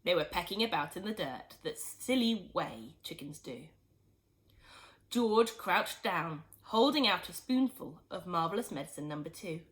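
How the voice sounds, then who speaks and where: Animated woman reading a children's story. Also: on the low side, a little slow. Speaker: storybook reader. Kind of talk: expressive scripted narration for children.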